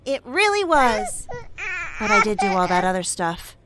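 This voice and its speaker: A woman's voice: mid-range voice, big pitch swings, normal speed, heavy background noise, close to an everyday voice. A woman playing an exasperated, capable superheroine in an audio comedy, speaking with sarcastic indignation. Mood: indignant.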